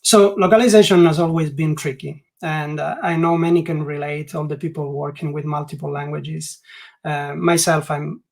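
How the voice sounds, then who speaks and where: A man's voice: on the high side, steady tone, normal speed, vocal fry. A man from Italy with an Italian accent, speaking English as a second language as a guest in a webinar interview, often restarting his sentences and filling gaps with "like". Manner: hesitant, many restarts and "like" fillers. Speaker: guest learning experience designer.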